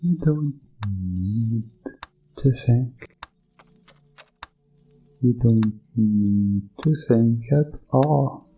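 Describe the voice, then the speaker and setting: A man's voice: medium pitch, mellow, faint music behind. A man playing a sinister doctor in a hypnosis ASMR roleplay, soothing and commanding his test subject. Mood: coaxing, soothing. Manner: slow, commanding, soothing, deliberate countdown-style delivery.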